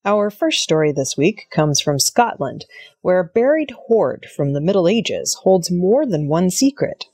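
A woman's voice: lowish voice, very animated, unhurried, a little mellow, dry recording, clean studio sound. A woman reading scripted news narration as an audio news presenter. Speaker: news reader.

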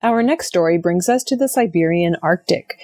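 A woman's voice: on the low side, animated, moderate pace, a little mellow, dry recording, studio quality, faint music behind. A woman reading scripted news stories as a narrator. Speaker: news reader.

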